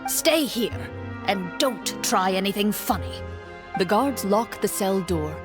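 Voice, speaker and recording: A woman voicing a composed, stern young game master in a video game, speaking in a measured, formal, deadpan way. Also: mid-range voice. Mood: solemn narration. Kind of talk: video game voice acting, scripted narration. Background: game music.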